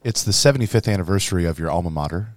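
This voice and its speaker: Hoarse male priest co-host, low voice, chatty storyteller, dry close mic. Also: animated, racing, no echo. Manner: rambling, storytelling with laughs. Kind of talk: casual chat.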